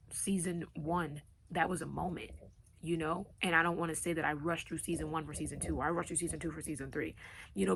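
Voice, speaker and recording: A young woman from Long Island with a New York accent, a podcast host with a deep, raspy voice, talking to her listeners in an earnest, motivational way. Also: slight background noise, poor audio. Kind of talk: casual solo podcast talk.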